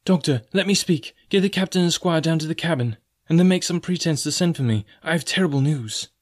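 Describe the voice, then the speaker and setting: Man playing a young boy sailor, raised pitch, urgent, earnest, pleading, storybook adventure. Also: expressive, a little fast. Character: earnest, anxious ship's boy confiding in grown men.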